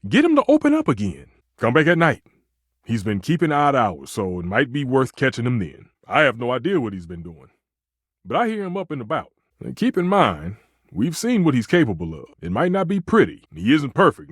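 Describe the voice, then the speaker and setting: Wildly expressive, proud talking stove. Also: male voice, mid-range voice, normal speed, husky, no room sound, clean studio sound. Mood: concerned, confiding. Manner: dramatic, emphatic, grandiloquent about cooking. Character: passionate, proud kitchen stove, a dramatic food purist. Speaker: video game voice actor. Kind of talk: theatrical video game character dialogue.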